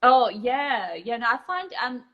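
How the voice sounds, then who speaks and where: A woman's voice: on the high side, very animated, measured pace, highs cut off. A woman with a South African accent, a photographer talking through her tips on a live video call, explaining with frequent ums and restarts. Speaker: guest photographer. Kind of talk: casual live chat.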